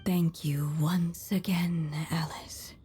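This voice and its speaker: Breathy, slow dying storyteller. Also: female voice, on the low side, flat delivery, heavily acted. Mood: weak, gentle. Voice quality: breathy, near whisper. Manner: gentle, faltering, solemn farewell delivery. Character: dying storyteller woman, tender and motherly. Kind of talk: video game character acting. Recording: loud music under the voice.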